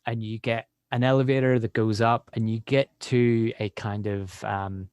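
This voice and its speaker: A man's voice: mid-range voice, fairly even intonation, normal speed, darkish tone, clean tone, no echo, studio quality. A man hosting a casual conversational interview, asking loosely worded questions in a slightly hesitant way. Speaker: podcast interviewer.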